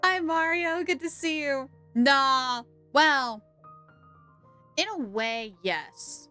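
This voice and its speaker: A woman's voice: high-pitched, drawn-out words, bright, forceful tone, faint music behind. A woman livestreaming a game, reacting casually in a chatty, playful, giggly way.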